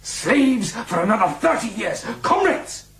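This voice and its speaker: High-pitched, fanatical political demagogue. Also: male voice, big pitch swings, a little slow, full character voice. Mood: bitter, rousing. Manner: declamatory, builds to shouting. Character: fanatical nationalist demagogue, ex-soldier, haranguing a meeting. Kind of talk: radio drama political oratory.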